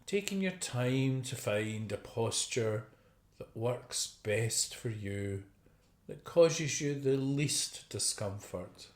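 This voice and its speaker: Guy doing a guided meditation, really slow and gentle, lots of pauses, echoey room. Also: mid-range voice, expressive. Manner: gentle, unhurried, with long pauses. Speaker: meditation teacher. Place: indoor room. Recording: roomy, echoey video call recording.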